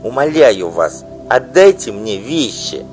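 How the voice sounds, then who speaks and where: Male reader playing a high, loud, furious wife in a storybook, faint music behind. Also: animated, a little slow. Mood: pleading. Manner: shrill, pleading and accusing. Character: indignant wronged wife, middle-aged woman. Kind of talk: audiobook reading.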